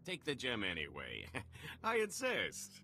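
An actor playing a sly, smarmy alien villain in a video game, with a theatrical delivery. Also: male voice, medium pitch, wildly swooping pitch, takes it slowly, sharp tone, faint music behind, exaggerated voice. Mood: sly bargaining. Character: sly male alien villain, cornered and bargaining.

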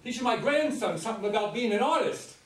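A man's voice: sits high, animated, a little fast. An older man reading the part of a talkative, folksy old ex-convict hitchhiker in a staged script reading. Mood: proud, chatty. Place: meeting room with long table, indoors. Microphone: far camera. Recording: roomy, distant mic, some ambient noise.

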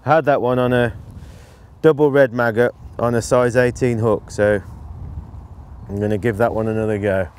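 A middle-aged man giving relaxed fishing instruction outdoors, in unhurried, halting phrases as he works. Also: medium pitch, clean tone. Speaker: angling instructor, presenter. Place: outdoors on an open lakeside bank.

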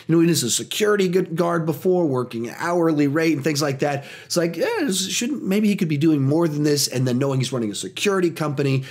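Animated man with a slight cold. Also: medium pitch. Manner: animated, gestures a lot, talks in quick bursts. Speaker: film reviewer. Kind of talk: energetic spoiler movie review. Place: small home studio with posters and shelves.

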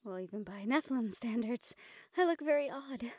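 A woman's voice: a little high, animated, moderate pace, no echo, studio quality. A woman playing a shy, sweet, self-conscious young nephilim woman in love, speaking hesitantly and tenderly in a romantic audio roleplay. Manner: hesitant, tender, breathy hushed passages.

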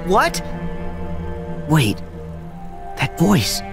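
A man's voice: a little high, wildly swooping pitch, extremely slow, gravelly, over music, heavily acted. An actor, a man, voicing a plucky teenage boy hero in a video game, boyish and earnest, with a questioning tone. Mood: confused. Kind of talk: video game cutscene acting.

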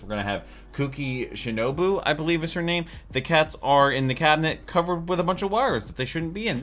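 A man's voice: mid-range voice, a bit bright. An American man in his thirties giving casual, chatty, enthusiastic reaction commentary as a video host at home. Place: small furnished home room.

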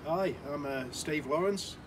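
A man's voice: fairly high pitch, measured pace. A man in his sixties from the Isle of Man, giving an emphatic, chatty testimonial to camera on an outdoor balcony, with a camera mic a few metres off. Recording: outdoor recording with clear street noise.

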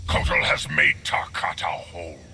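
An actor voicing a fierce monster warrior in a video game, growling and menacing in a gravelly voice. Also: male voice, a bass voice, exaggerated voice. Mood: proud, stern. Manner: slow, menacing, declarative. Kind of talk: video game dramatic acting. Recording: loud background noise.

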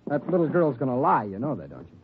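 A man's voice: mid-range voice, very animated, fast, background noise, lightly acted. A man playing a sneering cocky suspect. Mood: defensive. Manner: sarcastic, defensive. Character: sneering male suspect, thirty-one, cocky clothing salesman. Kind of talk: 1940s radio acting. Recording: muffled old radio broadcast.

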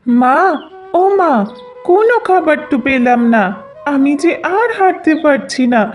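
A woman's voice: high voice, lively intonation, a little slow, faint music behind. A woman voicing a frightened, hungry little village girl in a cartoon, plaintive as she calls to her mother. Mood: hungry, pleading. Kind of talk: cartoon fairy-tale voice acting.

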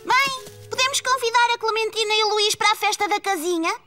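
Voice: very high-pitched, quick pace, bright, forceful tone, soft background music. A voice actor playing a cheerful, eager four-year-old boy in a cartoon, with a European Portuguese accent. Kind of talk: children's cartoon voice acting, European Portuguese dub.

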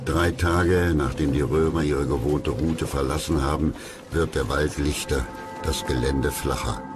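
Man, extremely low voice, measured dramatic documentary narration, loud music behind. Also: steady tone.

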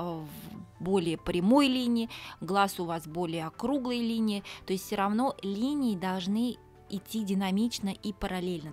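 A woman makeup artist narrating a tutorial in a chatty, instructive way, talking to fellow professionals. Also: mid-range voice. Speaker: makeup artist teaching a lesson. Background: soft background music.

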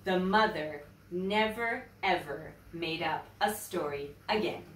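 A middle-aged woman reading aloud to children as a teacher, expressive, emphatic and deliberate. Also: fairly low pitch. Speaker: teacher, storyteller. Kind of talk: animated children's read-aloud. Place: indoors, book-lined room. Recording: echoey room, distant mic, faint noise.

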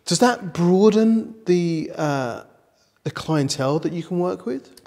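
A man's voice: high-pitched, animated, slow, darkish tone, long reverb tail. A man hosting a coaching interview, asking encouraging, reflective questions and often rephrasing mid-sentence. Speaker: interview host, director of training. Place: studio set with leather sofas.

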